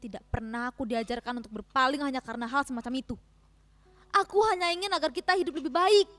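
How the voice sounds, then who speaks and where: A high-pitched, wounded wife in a failing marriage, played by a woman. Her delivery is theatrical, emotional and reproachful, as in a staged dramatic reading, with faint music behind. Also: fast, fairly bright tone, no echo. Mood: wounded, resolute. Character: hurt, proud village wife in a failing marriage.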